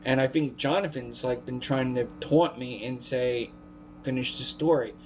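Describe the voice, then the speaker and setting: A man in his thirties giving casual, rambling commentary, halting and often repeating or correcting himself, recorded at home on a webcam mic. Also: medium pitch, soft background music, poor audio. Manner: halting, repetitive, self-correcting. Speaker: amateur video maker. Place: living room at home. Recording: steady hum and background noise.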